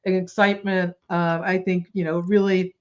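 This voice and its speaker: Hoarse giggly woman co-hosting toy news. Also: lowish voice, little pitch movement, normal speed, muffled recording. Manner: giggly and enthusiastic. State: hoarse, losing her voice. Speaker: co-host of a toy news show. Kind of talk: casual chat.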